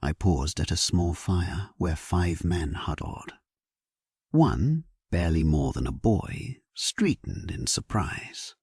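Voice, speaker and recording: A man plays a grave warrior king telling his own story for a sleep audio drama. The voice is very low and husky, with breathy edges. He speaks slowly and solemnly, with dramatic rises and falls and steady resolve. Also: no echo, studio quality, heavily acted. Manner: solemn, measured, dramatic delivery. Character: grave, resolute English king at war, narrating his memoir. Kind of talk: scripted first-person sleep-story narration.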